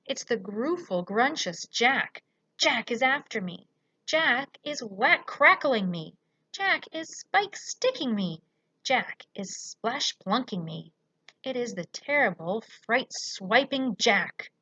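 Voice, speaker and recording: A woman's voice: very high voice, flat delivery, measured pace, a bit bright, lo-fi sound, pitched above the performer's own voice. A woman voicing a huge, brutish male storybook giant, bellowing and pleading in terror with garbled words. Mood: terrified bellowing.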